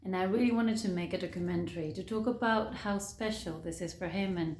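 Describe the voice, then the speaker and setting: Woman narrating her doc, lowish and earnest, bit of echo. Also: brisk, darkish tone. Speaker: documentary maker. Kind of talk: documentary narration.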